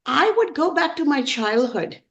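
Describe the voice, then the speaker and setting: A woman in her fifties with a fairly high voice and a strong gravelly creak. She is from Sri Lanka and speaks as a podcast guest, sharing personal stories with earnest, deliberate emphasis. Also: expressive. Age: 50s. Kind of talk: personal storytelling in an interview.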